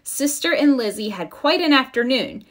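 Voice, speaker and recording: A woman narrating a children's story in an expressive read-aloud style. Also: high voice, normal speed. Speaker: storybook reader.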